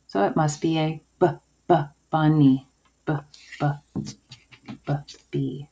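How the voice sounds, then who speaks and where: A woman teacher giving a video lesson to young children in simple, deliberate phrases. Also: deep voice, fairly even intonation, warm tone. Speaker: early-years school teacher. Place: indoors at a table, homemade video. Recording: dull lo-fi sound, clear noise.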